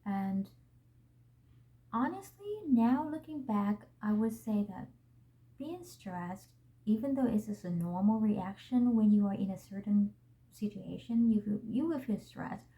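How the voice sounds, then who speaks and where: A young woman with a Vietnamese accent, whose first language is Vietnamese, hosting a vlog and talking to the camera in a gentle, earnest and reflective way. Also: mid-range voice, lively intonation, a little slow, lo-fi sound. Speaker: vlog host.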